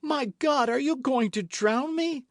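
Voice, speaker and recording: A man voicing a desperate condemned young woman who shrieks defiance and pleads for her life, high and strained. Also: unhurried, dry recording, clean studio sound, lightly acted. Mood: pleading. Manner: shrill, cries out in high strained pleas.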